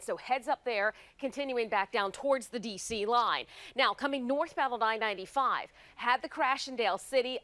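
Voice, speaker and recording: Casting: female American traffic reporter, high voice, dry close studio sound. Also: fairly bright tone, dry recording.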